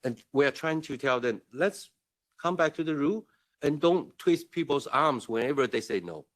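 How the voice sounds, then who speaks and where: A man's voice: a little high, lively intonation, moderate pace. A man from Taiwan, speaking as a diplomatic representative at a conference, earnest and emphatic with frequent restarts. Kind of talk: conference speech. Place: conference room at a parliament.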